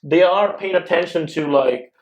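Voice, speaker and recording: A man's voice: fairly high pitch, normal speed, muffled recording. A man from India, a guest investor in a casual podcast chat, talking with many "uh"s and "like"s. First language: Gujarati or other Indian language. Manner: hesitant, many fillers like "uh" and "like".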